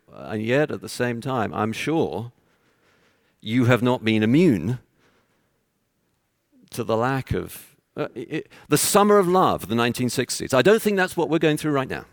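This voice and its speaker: A man with a Texan accent preaching a conference sermon in an earnest, conversational way, pausing as he goes. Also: lowish voice, vocal fry, no room sound, clean studio sound. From Texas. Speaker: visiting preacher. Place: church conference.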